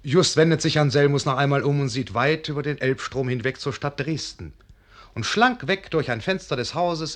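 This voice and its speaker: A man's voice: medium pitch, fairly bright tone. A man narrating a radio play in a lyrical, rapturous way. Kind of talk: scripted narration in radio drama.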